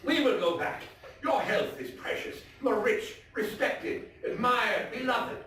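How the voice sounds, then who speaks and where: A man voicing a sly, vengeful nobleman who feigns flattering concern, in a theatrical reading of a gothic story. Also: very high-pitched, pitched above the performer's own voice, full character voice. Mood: coaxing, flattering. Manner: solicitous, insinuating, deliberate. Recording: roomy distant stage recording, faint noise.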